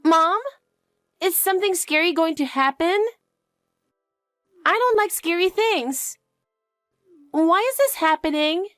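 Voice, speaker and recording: A woman's voice: very high voice, lively intonation, slow, long pauses, fairly bright tone, dry recording, clean studio sound, faint music behind, pitched above the performer's own voice, exaggerated voice. A woman voicing an anxious, clingy young girl who pleads and asks question after question in a dramatized story. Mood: scared.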